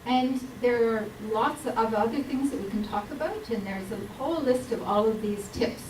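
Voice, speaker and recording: A woman's voice: on the high side, moderate pace, darkish tone, slight background noise. Woman giving a health talk in an echoey hall. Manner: earnest, explanatory, with frequent ums. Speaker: health speaker. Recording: roomy hall mic from a distance.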